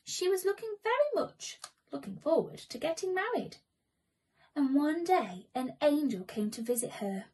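A young woman telling a children's story theatrically, with breathy asides to her young audience. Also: high-pitched, very animated, moderate pace, darkish tone. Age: young adult. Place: small room at home.

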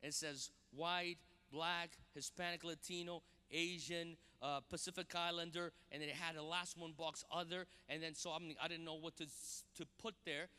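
Bright-voiced man. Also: a little high, moderate pace, hardly any pauses, smooth. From Brazil. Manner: animated, repeats words when starting sentences. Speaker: guest pastor. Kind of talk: sermon with personal stories. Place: large church stage with audience. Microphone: handheld mic. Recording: long hall reverb.

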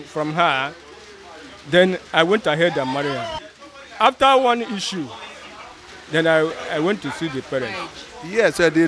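An older man with a Nigerian accent, answering a street interview in a rambling way with pidgin phrases, into a handheld mic outdoors. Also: on the high side. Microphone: handheld mic held by interviewer.

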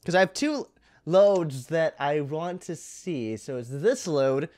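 A man's voice: a little high, lively intonation, takes it slowly, a little mellow. A man in his twenties giving casual gaming commentary into a close microphone in a small room. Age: 20s. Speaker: gaming YouTuber. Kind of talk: casual let's-play commentary. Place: small home room indoors. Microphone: close boom-arm studio microphone with headset.

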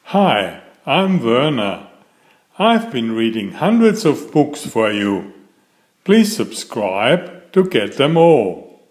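Older male storyteller, animated pitch, expressive read-aloud delivery, slightly echoey room. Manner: slow, deliberate, expressive phrasing. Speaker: children's book reader and host.

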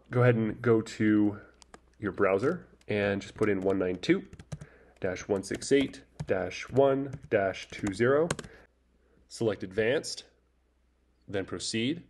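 Male tutorial presenter, lowish voice, easygoing and hesitant delivery. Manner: relaxed, hesitant, many fillers and restarts. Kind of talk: casual screen-recorded tech tutorial. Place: voice-over over screen recording.

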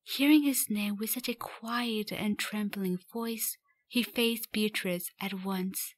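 A woman's voice: mid-range voice, fairly even intonation, slow, dry recording. A woman reading scripted story narration for a fan radio drama.

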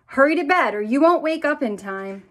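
A brisk storybook mother, as a woman reader puts her on, calls out insistently in a high voice. She sounds like a parent giving orders in a picture book. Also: expressive, a bit bright. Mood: insistent, calling.

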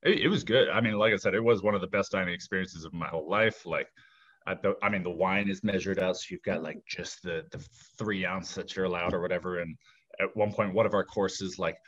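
A fairly low-voiced man tells a loose, rambling story, stopping for fillers and small restarts. The recording is dull and lo-fi, as if the highs were cut off. He sounds relaxed and in no hurry. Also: fairly quick. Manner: laid-back, rambling with fillers. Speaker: guest. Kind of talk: casual storytelling chat.

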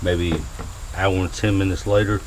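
A man's voice: deep voice, little pitch movement, moderate pace. A man, talking casually to viewers in a laid-back drawl while cooking outdoors at a grill, picked up by a camera mounted above it. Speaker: home cook vlogger. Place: outdoors at a grill beside a house. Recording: noisy outdoor camera audio.

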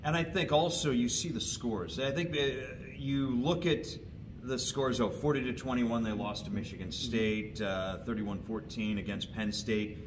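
A middle-aged man giving wry, detailed sports analysis as a regular guest, recorded on a distant camera in a large indoor hall. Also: normal speed. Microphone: far camera. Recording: distant mic, rumbling background noise.

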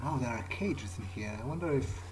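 Male gamer, mid-pitched, in-character vampire musing, halting delivery, game ambience and clear noise behind. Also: expressive. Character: self-assured vampire thinking aloud. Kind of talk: in-character gameplay commentary, thinking aloud. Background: video game ambience.

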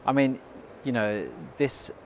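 A man in his thirties chats with animated, effusive enthusiasm as a co-host. His voice is mid-pitched for a man. Faint background noise from the show hall is heard. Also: slow. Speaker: co-presenter. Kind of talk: casual review chat. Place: indoor motorcycle exhibition hall.

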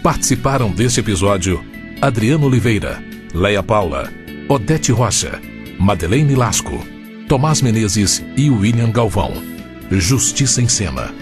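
A man's voice: lowish voice, sing-song, a little slow. A man with a Brazilian accent reading scripted announcements for a radio programme. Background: music.

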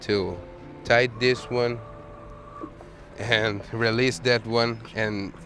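A man in his thirties with an Italian accent, a sailboat owner showing his boat in a casual tour, talking haltingly and searching for English words. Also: mid-range voice, fairly even intonation, a bit bright. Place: outdoors on a sailboat deck and cabin. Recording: background music.

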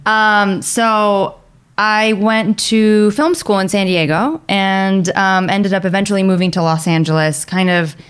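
A woman's voice: medium pitch, unhurried, smooth. A woman in her thirties from Bulgaria, a filmmaker guest chatting casually in an interview and laughing easily.

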